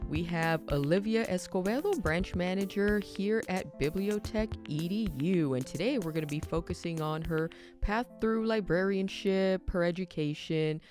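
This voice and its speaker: A woman's voice: lowish voice, normal speed, hardly any pauses, darkish tone, faint music behind. A woman co-hosting a podcast, opening the show and introducing the guest in a friendly way. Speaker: podcast co-host.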